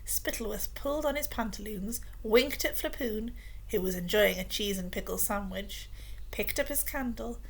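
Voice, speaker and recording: Woman storybook reader, expressive, hushed, gravelly texture. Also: medium pitch, normal speed, low-quality recording. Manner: expressive, often dropping to a hushed whisper. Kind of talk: children's story reading.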